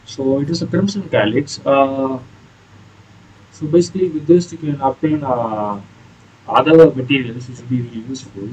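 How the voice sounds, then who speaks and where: A man giving casual, explanatory commentary on a video game playthrough in a hesitant, halting way. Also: medium pitch, a little mellow, breathy edge, lo-fi sound. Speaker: gaming video creator.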